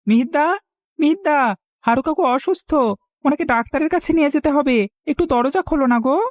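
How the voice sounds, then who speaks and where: Woman acting an earnest high-voiced village boy. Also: normal speed, darkish tone, no room sound, studio quality, close to an everyday voice. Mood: concerned. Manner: earnest, pleading. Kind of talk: Bengali cartoon voice acting.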